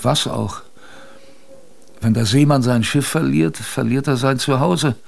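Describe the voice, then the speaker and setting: An actor playing a plain-spoken seaman who recalls a polar shipwreck in a colloquial, halting way, as in a radio drama. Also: medium pitch, vocal fry. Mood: sombre, reflective. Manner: colloquial, halting, musing storyteller. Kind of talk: radio drama first-person narration.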